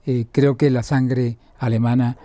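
A man's voice: mid-range voice, level tone, unhurried, warm tone, no echo. An older man interviewing a guest in a friendly, hesitant way with lots of fillers and restarts. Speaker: interviewer for a birding club. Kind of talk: casual conversational interview. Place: bright room with large windows, indoors.

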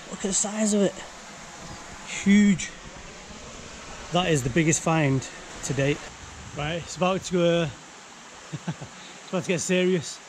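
Young Northern English guy, upbeat vlogger, slightly higher voice, canal-side action cam with steady background noise. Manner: excited, laughing, many fillers. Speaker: magnet fishing vlogger. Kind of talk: casual outdoor commentary. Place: outdoors by a canal under a bridge. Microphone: action camera. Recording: outdoor camera audio with background noise.

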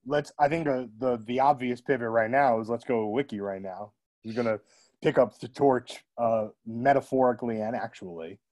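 A man's voice: mid-range voice, darkish tone. Joking male video call host. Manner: brisk, joking interviewer. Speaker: host, music critic. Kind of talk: hosting video call chat.

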